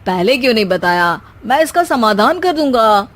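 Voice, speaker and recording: A woman voicing an impatient, demanding husband who teases in a hurried, bossy manner in a cartoon story. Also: a little high, very animated. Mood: teasing. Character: impatient, demanding, teasing husband, adult man.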